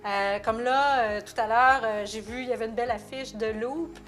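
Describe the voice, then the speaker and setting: Québécois lady hosting a tv interview, kinda high voice, lots of ums and restarts, faint music under it. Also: steady tone, normal speed, fairly bright tone. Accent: Québécois French. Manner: chatty, many hesitations and restarts. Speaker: TV host. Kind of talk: casual interview.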